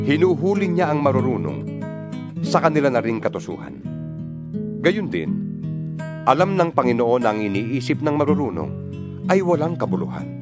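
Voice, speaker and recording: A man giving a solemn, expressive dramatized reading of scripture, his pitch swinging widely. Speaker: Bible reader. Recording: reading over guitar music.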